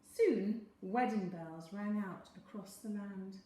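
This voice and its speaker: A woman reading a children's story aloud in a dramatic, expressive manner. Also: medium pitch, slow. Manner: dramatic, animated. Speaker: storyteller, teacher. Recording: very echoey room.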